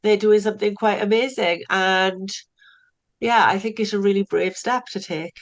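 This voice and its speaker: A woman's voice: medium pitch, steady tone, normal speed. A middle-aged woman from South Wales with a Welsh accent, a recovery coach chatting as a podcast guest who often repeats words as she starts a thought. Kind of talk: casual interview chat.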